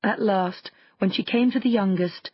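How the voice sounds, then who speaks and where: A woman narrating a children's fairy tale audiobook in an expressive storytelling way. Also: mid-range voice, moderate pace, husky, dry recording, lo-fi sound.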